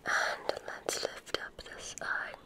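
A woman whispering softly and breathily in an ASMR roleplay as a gentle, soothing witch doctor, close to the microphone. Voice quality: breathy, mostly unvoiced whisper. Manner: soothing, gentle, unhurried reassurance. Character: kindly witch doctor giving a magic school exam.

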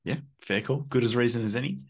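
A man's voice: mid-range voice, fast, husky. A man in his twenties with an Australian accent, hosting a podcast in a friendly, laid-back and slangy way. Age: 20s. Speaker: podcast host. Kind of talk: casual interview.

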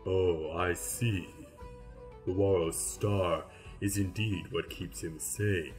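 A man playing a polite demon grim reaper in an audio drama, speaking deliberately and formally. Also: deep voice, steady tone, a little mellow, soft background music. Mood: concerned, hesitant. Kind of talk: dramatized webcomic audio play.

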